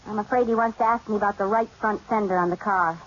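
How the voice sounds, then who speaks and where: An actress playing a scatterbrained, dramatic young housewife in a 1940s radio comedy, flustered and expressive with quick comic timing. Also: female voice, mid-range voice, close to an everyday voice. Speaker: lead actress in a radio sitcom. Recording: dull old radio broadcast with loud hiss.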